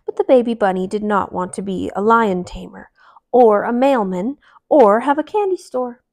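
A woman in her thirties reading a picture book aloud to young children in an expressive, animated storytelling way. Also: mid-range voice, normal speed. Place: small living room, indoors. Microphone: clip-on lapel mic.

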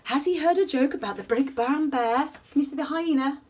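Casting: woman, high voice, animated singsong storyteller for small children, recorded a little way from the mic at home. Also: fairly quick, distant mic. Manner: animated, singsong, asks the listener questions. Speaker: children's bedtime story reader. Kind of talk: expressive picture-book storytelling for young children. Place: small attic room at home, indoors. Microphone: camera a short distance away.

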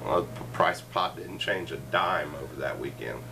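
A man's voice: a bass voice, moderate pace, gravelly. Middle-aged man, casual host, rambling and jokey, picked up from a distance with faint room noise. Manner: joking, meandering, with frequent asides. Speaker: show host. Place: cluttered living room, indoors. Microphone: fixed camera across the room.

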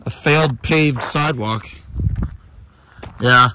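Man, casual vlog host, medium pitch, halting rambling delivery, noticeable background noise, handheld recording. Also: pushed and bright. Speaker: host of a house tour vlog. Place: walking through empty new houses and outside.

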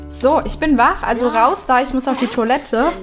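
A woman's voice: sits high, normal speed, over music. A woman with a cold chatting casually through her gameplay, rambling with many fillers at a desk microphone. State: has a cold. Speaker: let's play host.

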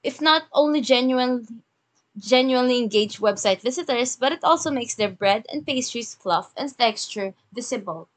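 A woman's voice: a little high, expressive, moderate pace. Woman, casual web design reviewer, voice-over on a screen recording, friendly ad-libs. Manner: chatty, reads script then ad-libs with fillers. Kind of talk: screen-share website review.

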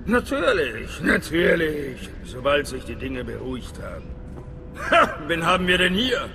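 A talkative, self-satisfied male station chief from a sci-fi video game. He is theatrical, fairly high for a man and gravelly, with music behind him in a big echoing space. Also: big pitch swings, unhurried, full character voice. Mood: pleased, effusive. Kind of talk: dramatic sci-fi game dialogue. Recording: long reverberant hall, music behind.

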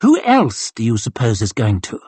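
A man's voice: medium pitch, wildly swooping pitch, normal speed, a little mellow, breathy edge, dry recording. A man voicing an arrogant, mocking cavalry colonel with a sneering, theatrical delivery.

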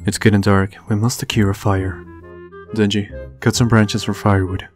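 Man acting a low-voiced serious young devil hunter. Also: level tone, normal speed, faint music behind, close to an everyday voice. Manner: serious, scolding, earnest. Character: stern, responsible young male devil hunter, exasperated leader. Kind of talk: fan comic dub, dramatic acting.

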